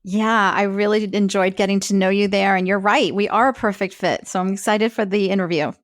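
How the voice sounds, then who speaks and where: Chatty woman in her 50s, spanish first language, mid pitch, dry close sound. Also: steady tone, fairly quick, no room sound. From Peru. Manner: chatty, many "like" fillers, laughs easily. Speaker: health coach guest. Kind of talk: casual interview chat.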